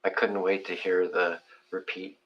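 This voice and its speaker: A man's voice: sits low, flat delivery, unhurried. A man co-reading guided meditation and bantering casually, in a teasing, rambling way. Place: live online session from a room. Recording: slightly dull sound, faint noise.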